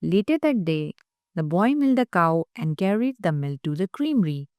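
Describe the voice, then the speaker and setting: Casting: female children's storyteller, slightly low voice, dry close sound, scripted read-aloud. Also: very animated, normal speed, darkish tone, no echo.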